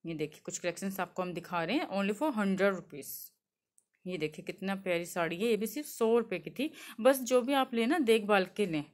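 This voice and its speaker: A young woman from Delhi talks through a street-market tour while holding her phone, pointing out clothes and prices. She speaks in a chatty, easygoing way, mixes English words into her talk and fills pauses with many fillers. Her voice sits in a middle pitch. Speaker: shopping vlogger. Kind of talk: walking market tour, showing goods to camera. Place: crowded outdoor street market. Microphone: handheld phone, speaker off-camera.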